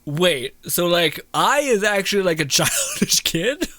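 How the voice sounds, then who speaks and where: A man's voice: high voice, very animated, measured pace, pushed and bright, no room sound. Young man streaming, giggly and informal. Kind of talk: casual gameplay commentary. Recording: game music behind the voice.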